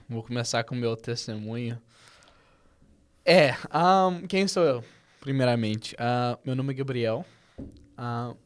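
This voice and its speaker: A young man from Brazil who grew up in the Netherlands, speaking Portuguese with a foreign-tinged Brazilian accent, chatting casually and haltingly as a podcast guest. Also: mid-range voice. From born in Brazil, raised in the Netherlands. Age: young adult. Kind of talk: casual chat.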